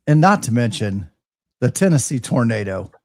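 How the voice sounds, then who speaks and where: Halting man chatting casually, dry close sound. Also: medium pitch, big pitch swings, vocal fry, no echo. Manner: halting, stammering delivery with repeated words. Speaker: host of a live ham radio show. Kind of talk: casual chat, storytelling.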